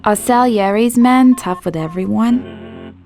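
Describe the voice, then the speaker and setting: Slow-talking, coy young woman. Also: on the high side, darkish tone, soft background music. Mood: teasing. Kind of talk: video game cutscene acting.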